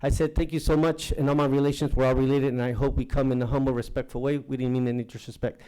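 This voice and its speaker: A man whose first language is Nahuatl gives his public comment in a mellow, dark-toned voice. He rambles from point to point, halting often on fillers, at an ordinary pitch for a man. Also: little pitch movement, smooth, rough recording. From Anaheim, California. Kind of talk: public comment at a council meeting.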